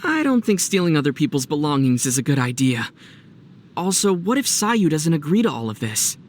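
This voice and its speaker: A man voicing a youthful male traveler in a video game, gentle and level-headed, with a calm, playfully teasing manner. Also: on the high side, a little fast, a little hiss or hum. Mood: wry. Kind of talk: video game character acting.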